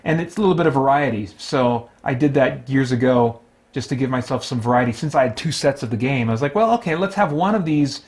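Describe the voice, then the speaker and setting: A man hosting a solo tabletop game, giving casual, unscripted commentary and haltingly thinking aloud as he plays. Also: medium pitch, lively intonation, darkish tone.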